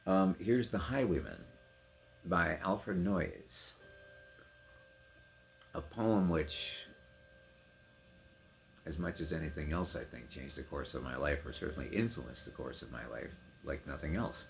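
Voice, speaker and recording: A man's voice: extremely low pitch, big pitch swings, measured pace, gravelly, pauses often, soft background music. A middle-aged man giving a casual personal introduction to a reading, with emotive, theatrical emphasis. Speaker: poetry reader and trained actor.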